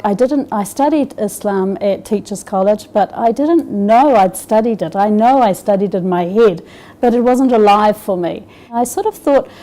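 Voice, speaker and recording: A middle-aged woman with a New Zealand accent tells her own story in an unscripted, halting way, often pausing on fillers and restarting her sentences. Her voice is mellow and warm rather than bright, at an ordinary pitch for a woman. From Scotland, raised in New Zealand. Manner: hesitant, many fillers and restarts. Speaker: interviewee. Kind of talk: personal testimony.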